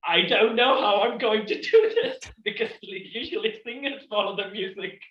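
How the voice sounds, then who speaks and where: High-voiced man on a distant, echoey call. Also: moderate pace. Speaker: panelist. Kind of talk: casual chat. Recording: roomy, distant, dull remote audio.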